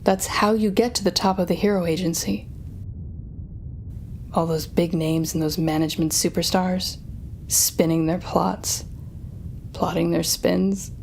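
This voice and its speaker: A woman's voice: fairly low pitch, fairly even intonation, creaky, a little mellow, a little hiss or hum. A woman playing a sardonic, smug telepathic supervillain, taunting and theatrical as she speaks to the listener in an audio roleplay drama. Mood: probing, sly. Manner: taunting, theatrical, drawn-out teasing phrasing.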